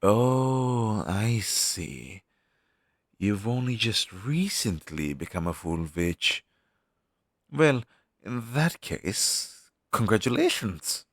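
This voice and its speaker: A man voices a wistful crow demon in an audio roleplay, a former familiar down on his luck. He talks slowly in a low register, friendly and hesitant, speaking straight to the listener. The sound is dry and close. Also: lively intonation, no echo, full character voice. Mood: warm, encouraging. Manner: conversational, hesitant, with sighs and pauses.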